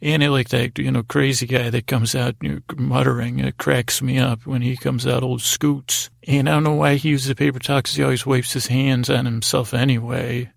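A man hosting a bedtime podcast with a gravelly, creaky voice, rambling in a halting, repetitive, folksy and digressive monologue. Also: medium pitch, flat delivery, dry recording, clean studio sound. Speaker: podcast host.

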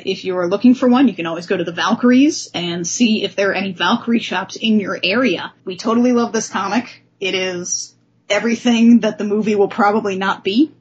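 A woman's voice: medium pitch, a little fast, slightly rough. A woman hosting a discussion podcast about comic books. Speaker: podcast host. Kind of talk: comic book discussion with dramatic letter reading. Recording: dull, lo-fi podcast audio.

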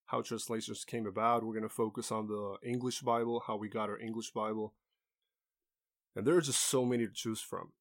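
A man hosting a podcast alone, explaining a subject in an earnest, explanatory manner with small restarts. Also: fairly low pitch. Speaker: podcast host. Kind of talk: solo teaching talk.

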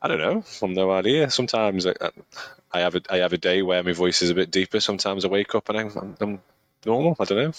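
A man's voice: low-pitched, fast, no echo. A man co-hosting a podcast, chatting casually and rambling with restarts. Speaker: podcast co-host, amateur runner. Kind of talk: casual chat.

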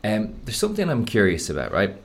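A man's voice: fairly low pitch, wildly swooping pitch, fast, gravelly. A man hosting a casual podcast interview, asking curious, easygoing questions with many fillers. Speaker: podcast host.

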